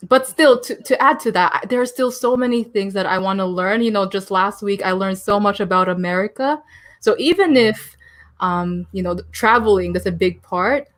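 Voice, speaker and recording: A woman's voice: medium pitch, normal speed, fairly bright tone. A young woman from the Philippines and Taiwan, a podcast guest chatting casually and laughing often as she rambles through her story. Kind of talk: casual interview chat.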